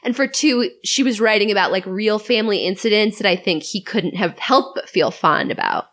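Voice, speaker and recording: A woman's voice: medium pitch, moderate pace, a bit bright. A woman telling a semi-scripted story on a podcast in a conversational, articulate, lightly wry way. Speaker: podcast guest.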